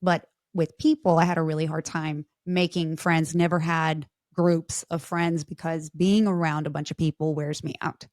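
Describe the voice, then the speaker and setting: A woman in her forties tells stories in a lively, chatty way and slips into other people's voices as she goes. Her voice sits a little low for a woman. The sound is dry and close, with no room echo. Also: normal speed, hardly any pauses. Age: 40s. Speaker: podcast guest. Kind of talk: casual storytelling chat.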